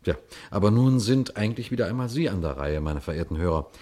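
An actor playing a shrewd, unhurried police detective who narrates his case in a radio crime drama, deliberate and pointed. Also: lowish voice, animated, dark tone. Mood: calm, explanatory. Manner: deliberate, pointed, addresses listeners directly.

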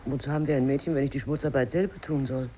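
A callous bourgeois wife in a 1950s radio play. She is brisk and dismissive, speaking over the faint noise of an old recording. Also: a bass voice, steady tone, dark tone. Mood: irritated, bossy.

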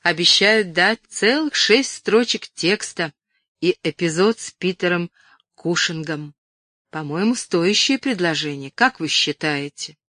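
A woman's voice: on the low side, animated, dry recording. A meek young actress from a storybook, played by a woman narrator, speaks in a small trembling voice. She is wistful and apologetic, with a streak of hurt pride, and the sound is close and dry. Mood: resigned, brave. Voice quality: trembling, frightened little voice. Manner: quiet, meek, halting.

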